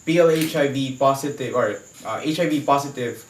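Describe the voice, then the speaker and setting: A man's voice: mid-range voice, a little fast. A man with a Filipino accent, speaking as an expert guest and explaining terms in an interview. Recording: echoey room, distant mic, faint noise.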